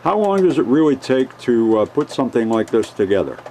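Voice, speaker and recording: A man's voice: mid-range voice, big pitch swings, fairly quick, warm tone. A man hosting an on-location interview in a home basement, earnest and folksy, stretching out his phrases. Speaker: video host interviewer. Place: home basement with train layout. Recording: faint background noise.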